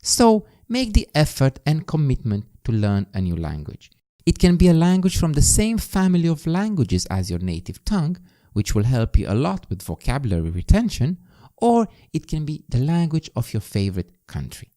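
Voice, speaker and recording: A man's voice: on the high side, wildly swooping pitch, moderate pace, mellow. A man with a Romanian accent, a native Romanian speaker, hosting a podcast in an emphatic, scripted self-improvement monologue that addresses the listener directly. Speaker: podcast host.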